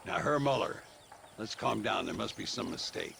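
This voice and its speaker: A man's voice: on the low side, lively intonation, moderate pace, creaky, a bit bright, a little hiss or hum, exaggerated voice. A man playing a genial, wry frontier gambler in a video game cutscene, florid and courteous. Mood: placating.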